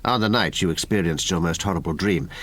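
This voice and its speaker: An actor playing a grave, weary but kindly country doctor in a period audio drama, measured and formal. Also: male voice, low-pitched, fairly bright tone. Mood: clinical, concerned.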